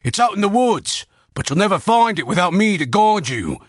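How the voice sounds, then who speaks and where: A man's voice: on the high side, very animated, slow, sharp tone, no room sound, clean studio sound, exaggerated voice. A man voicing a wounded, hateful male bandit chieftain who growls threats and begs in panic. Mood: panicked pleading. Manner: growling, then pleading in panic.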